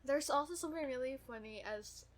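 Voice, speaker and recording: A woman in her twenties chatting casually as a podcast co-host, gushing, excitable and quick to swear. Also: high-pitched, slight background noise. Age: 20s. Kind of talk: casual fan podcast chat.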